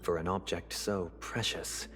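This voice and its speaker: A low, smooth powerful patriarch in a game drama, formal and fastidious, with quiet menace. He questions in measured, commanding phrases over clear music, with a long reverberant tail on his voice. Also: fairly even intonation. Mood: cool, probing. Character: composed, menacing, fastidious male head of a powerful family. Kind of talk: dramatic video game voice acting.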